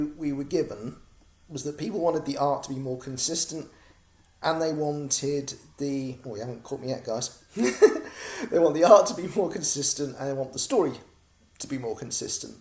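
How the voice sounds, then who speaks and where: A man's voice: medium pitch, a little mellow, roomy sound. An English man from Norwich giving a casual, rambling update to backers of his comic project, with many ums and restarts. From Norwich, England.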